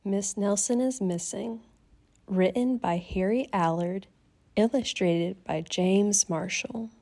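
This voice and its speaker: A woman's voice: medium pitch, takes it slowly, dark tone. A young adult woman reading a children's story aloud expressively, like a teacher with a class.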